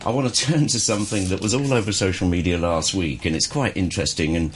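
Deep-voiced, jokey male radio host. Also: fast talker, a little hiss or hum. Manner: jokey, chatty. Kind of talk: radio talk show hosting.

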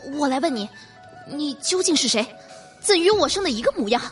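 A woman's voice: sits high, very animated, fairly quick, distant mic, soft background music. A woman acting a bold, haughty young martial arts heroine in a radio drama, with theatrical, old-fashioned phrasing. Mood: suspicious, brusque. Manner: theatrical, archaic swordswoman phrasing.

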